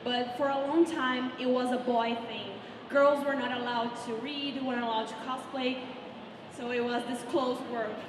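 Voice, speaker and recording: A woman's voice: a little high, fairly even intonation, moderate pace. A woman interviewer asking a comic artist enthusiastic questions in English. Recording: echoey hall with crowd noise.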